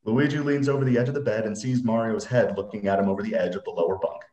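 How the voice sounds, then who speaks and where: A man's voice: lowish voice, steady tone, fast, darkish tone, dull audio. A man reading screenplay stage directions as narrator in a scripted reading. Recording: roomy echoing computer mic.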